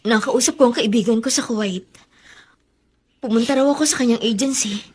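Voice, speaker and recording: A woman's voice: on the high side, brisk, darkish tone, breathy edge. A woman playing a determined, self-sacrificing mother, earnest and resolute, in a Filipino radio drama.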